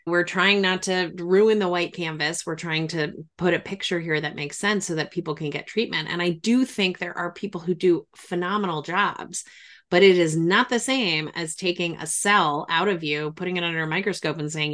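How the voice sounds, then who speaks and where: A woman's voice: on the low side, normal speed, fairly bright tone. A woman hosting a podcast in a casual conversational interview, speaking in an effusive, emphatic way. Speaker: podcast host and grief expert.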